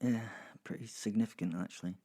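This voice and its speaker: A man's voice: on the low side, fast talker, dark tone. A man hosting a podcast in a casual, rambling way, chatty and dryly jokey, often interrupting himself. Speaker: podcast host and narrator. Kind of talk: casual rambling recap to listeners. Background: music under the voice.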